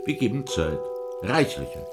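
An actor playing a mocking, verbose devil in a radio play, with theatrical, wheedling delivery and pompous old-fashioned phrasing. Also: male voice, lowish voice, sing-song, a little slow, loud music behind the voice. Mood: mocking, sly. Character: mocking, verbose devil, a tempter selling time. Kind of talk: German radio play acting.

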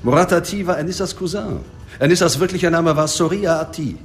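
An actor playing a businesslike police detective in a radio drama, reporting facts in a matter-of-fact manner. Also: male voice, on the high side, brisk, slight background noise, close to an everyday voice. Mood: insistent. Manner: factual, businesslike.

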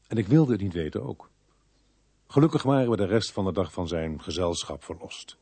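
A man's voice: on the low side, mellow, breathy edge, full character voice. An actor playing a dry, matter-of-fact male police inspector who questions and narrates in a radio play. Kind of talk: Dutch radio play, dialogue and first-person narration.

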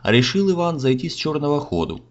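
A man's voice: a little high, very animated, fast. A man narrating a comic fairy tale in folksy, theatrical rhyme. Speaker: storyteller, narrator. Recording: slightly dull audio, music behind.

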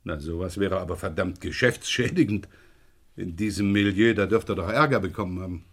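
An actor playing a middle-aged police inspector in a German radio crime drama, gruff, probing and impatient. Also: male voice, deep voice, fairly quick. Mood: brisk, impatient. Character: brisk, probing French police inspector, the boss.

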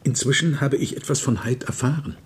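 An actor playing a loyal, concerned older gentleman in a German radio play, husky, polite and earnestly insistent. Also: medium pitch, fairly quick. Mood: urgent, earnest.

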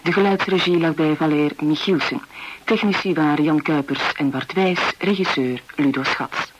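A clearly noisy old radio recording carries a male announcer. He reads formally from a script, in a voice slightly high for a man. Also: moderate pace.

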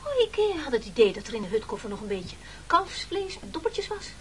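A timid, self-pleading castaway in an old radio drama with slight noise. The voice is very high and animated, stammering its way through evasive protests. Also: male voice, fairly quick, full character voice. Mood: nervous, evasive. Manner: hesitant, stammering, plaintive. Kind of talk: radio play acting.

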